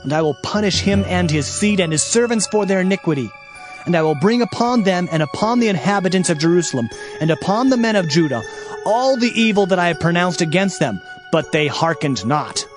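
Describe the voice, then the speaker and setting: An actor playing a stern prophet proclaiming divine judgment in a declamatory dramatized scripture reading. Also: male voice, sits high, lively intonation, fairly quick, music in the background. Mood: stern, condemning.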